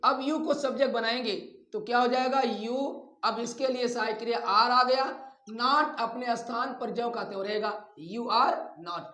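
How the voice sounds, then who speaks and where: A man teaching a grammar lesson step by step, deliberately dictating and stretching out repeated examples. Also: extremely high pitch, fairly even intonation, bright, forceful tone. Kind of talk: classroom lesson, step-by-step explanation. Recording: echoey room.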